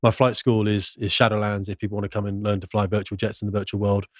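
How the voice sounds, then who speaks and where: A man chatting casually and quickly as a guest on a panel show. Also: on the low side, level tone, dry recording, clean studio sound. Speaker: podcast guest, flying instructor.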